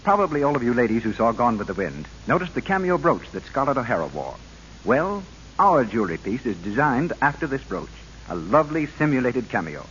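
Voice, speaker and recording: Hissy old radio, male announcer doing a polished 1940s sales pitch, voice goes up and down a lot. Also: big pitch swings, brisk, a bit bright, dull audio.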